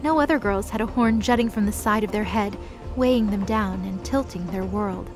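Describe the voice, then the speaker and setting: A woman's voice: medium pitch, normal speed, over music. Woman narrating a scripted fantasy story.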